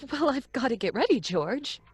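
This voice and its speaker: A woman's voice: high-pitched, very animated, measured pace, husky, soft background music, heavily acted. A woman playing an anxious mother in an audio drama, worried and pleading. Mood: calm. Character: Lydia, anxious suburban mother.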